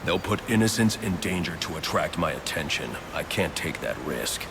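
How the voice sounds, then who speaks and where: Grim masked vigilante detective for a game: bottomless pitch, heavy gravel, clipped deadpan delivery. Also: male voice, normal speed, noisy background. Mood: stern, determined. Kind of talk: video game cutscene acting. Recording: loud music over the voice.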